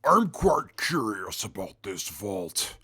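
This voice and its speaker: A man playing a huge, dour wasteland mutant in a radio drama, speaking in a formal, deliberate, stiff way. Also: on the low side, very animated, sharp tone, husky, dry recording, studio quality, full character voice. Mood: formal, reserved. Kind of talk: post-apocalyptic radio drama acting.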